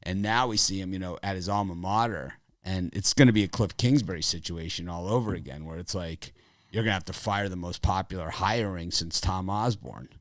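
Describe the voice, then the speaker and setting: A man with a raspy voice hosting a sports betting show, talking casually in a rambling, opinionated way with lots of fillers. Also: low-pitched, brisk, dry recording.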